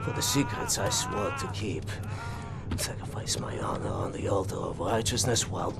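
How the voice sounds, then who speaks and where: An actor playing an elderly, eccentric inventor prisoner in a video game, with a halting, musing, ornate way of speaking. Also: male voice, low-pitched, gravelly, heavy background noise. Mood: conspiratorial. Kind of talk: video game cutscene acting. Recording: loud music over the voice.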